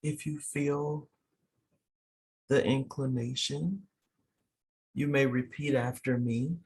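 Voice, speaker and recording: Husky voice doing a guided meditation, really slow, soothing, lots of pauses. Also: mid-range voice, steady tone, darkish tone. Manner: soothing, unhurried, pausing between short phrases.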